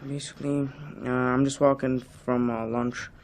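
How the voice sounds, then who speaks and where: A young man playing a sullen, reluctant high school student in an amateur dramatization, halting and offhand. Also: little pitch movement, a little mellow, natural voice. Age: teenager.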